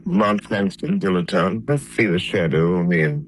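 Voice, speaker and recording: An actor voicing a suave, wealthy man-about-town in a 1930s radio drama. He speaks in a smooth, urbane and assured way, with a somewhat low voice, and a faint hiss sits under the old recording. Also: normal speed, lightly acted.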